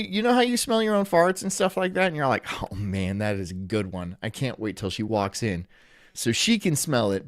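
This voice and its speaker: A young man from Florida chatting casually on a live stream, rambling through jokes and deadpan absurd asides. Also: a little high, no room sound, clean studio sound. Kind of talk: casual live-stream chat reacting to a video.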